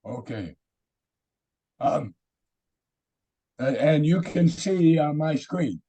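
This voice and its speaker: A hoarse man with a raspy voice giving a webinar presentation over a video call, halting with many fillers. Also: fairly high pitch, lively intonation, warm tone. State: hoarse from illness.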